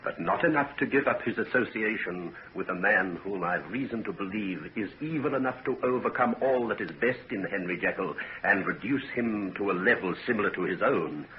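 An actor playing a grave, protective middle-aged father in a 1940s radio drama, speaking with solemn, deliberate earnestness. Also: on the low side, natural voice. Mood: stern. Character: grave, suspicious, protective father of a grown daughter. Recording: dull, noisy 1940s radio recording.

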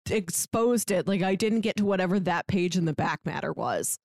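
A woman's voice: fairly low pitch, normal speed, darkish tone, no room sound, studio quality. A woman co-hosting a podcast, chatting casually and enthusiastically about a book. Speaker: podcast co-host.

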